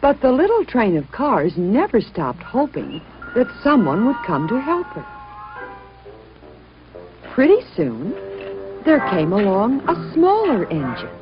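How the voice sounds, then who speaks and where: A woman tells a children's story with lively swings of pitch and dramatic emphasis. She takes her time over the words. The sound is dull and lo-fi, with clear music behind her. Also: measured pace, long pauses. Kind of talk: expressive scripted children's story narration.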